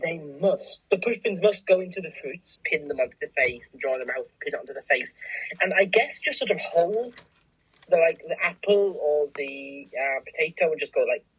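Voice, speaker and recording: A woman co-hosting in casual comedic banter, riffing in a wry, halting way. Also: sits low, lively intonation, breathy edge, dry recording, rough recording. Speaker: co-host.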